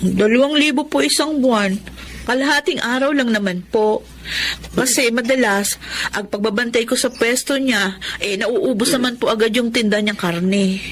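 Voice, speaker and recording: A woman's voice: fairly high pitch, moderate pace, slight background noise, close to an everyday voice. A young woman acting a humble, dutiful housemaid from a poor family in a Filipino melodrama, speaking politely and deferentially. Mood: hesitant, polite. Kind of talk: Filipino melodrama acting with first-person narration.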